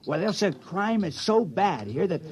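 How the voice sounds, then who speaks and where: Radio drama role: earnest small-town fellow, reluctant crook, high voice with big swings. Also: male voice, sing-song, normal speed, a little mellow. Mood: eager. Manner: folksy, hesitant, earnest. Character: reluctant, good-natured village man appointed town criminal. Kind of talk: old-time radio drama acting.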